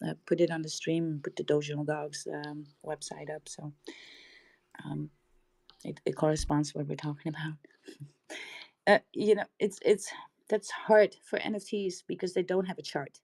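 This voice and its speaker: Lady hosting a live stream chat, kinda low voice, bubbly, laughs a lot, trails off. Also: darkish tone. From Netherlands. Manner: bubbly and chatty, laughs often, trails off mid-sentence. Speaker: host of a live crypto audio space.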